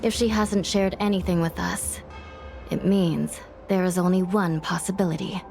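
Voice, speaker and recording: A woman playing a serious, level-headed investigator in a video game, speaking in a formal, measured, resolute way. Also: fairly low pitch, steady tone, vocal fry, a little mellow, soft background music. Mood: deducing.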